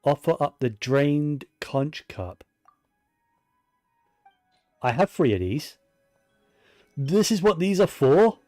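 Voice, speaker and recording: Man, dark relaxed voice, casual gameplay commentary, thinking aloud, faint music behind. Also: on the high side, slow, pauses often, dry recording, studio quality. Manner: musing, thinking aloud to himself. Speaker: video game streamer.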